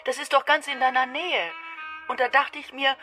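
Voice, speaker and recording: A woman's voice: high-pitched, level tone, moderate pace, over music, natural voice. An actress playing an overbearing, fussy older mother who criticizes and demands, in a German radio crime drama. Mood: chatty, insistent.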